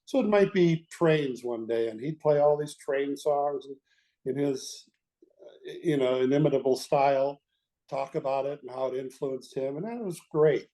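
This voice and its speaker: An older man with a mellow, relaxed voice sits as a guest in an interview. He looks back on his career in a measured, halting way, searching for the next phrase as he tells his stories. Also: medium pitch. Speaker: guest, veteran radio executive. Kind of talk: reminiscing interview talk.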